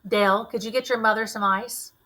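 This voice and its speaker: A commanding colonel from a storybook, as a woman reader plays him. He is steady, protective and military in manner, with a forceful delivery in a fairly high register. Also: brisk. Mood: firm, controlled.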